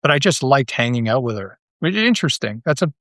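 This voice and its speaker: A man's voice: mid-range voice, big pitch swings, fast talker, fairly bright tone, dry recording, clean studio sound. A man talking casually as a podcast guest, rambling along with enthusiasm. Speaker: podcast guest, audio drama creator. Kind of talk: casual interview chat.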